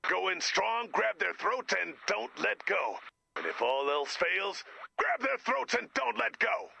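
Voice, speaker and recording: A man voicing a ruthless, pompous corporate werewolf in a video game, gravelly and slick, with a radio effect. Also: sing-song, a little slow, bright, forceful tone, no echo, heavily acted. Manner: pompous, slick business pitch. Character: charismatic, ruthless corporate werewolf executive, pompous and jargon-spouting. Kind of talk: video game character voice acting.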